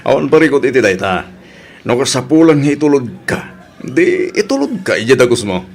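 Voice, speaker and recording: A man's voice: medium pitch, sing-song, normal speed. A man with an Ilocano accent playing a flirtatious, evasive married man in an audio drama. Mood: flirty, jovial. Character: Efren, flirtatious evasive married man who sings at a bar.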